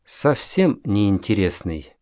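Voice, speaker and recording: Polite young Tsubasa, slowly. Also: male voice, lowish voice, very animated, a little mellow, no echo, studio quality. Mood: plain. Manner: carefully enunciated, deliberate. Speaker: dialogue reader in a language course. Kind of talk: slow, clear textbook dialogue reading.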